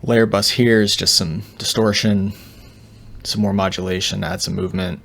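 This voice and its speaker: Low-pitched, gravelly man explaining casually. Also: steady tone, moderate pace, a bit bright. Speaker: audio engineer giving a tutorial. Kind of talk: casual unscripted walkthrough.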